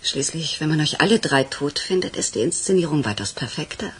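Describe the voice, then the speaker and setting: A woman's voice: sits low, expressive, brisk, background noise. A woman acting a cold, calculating criminal who betrays her lover, controlled and mocking, in a German radio crime drama.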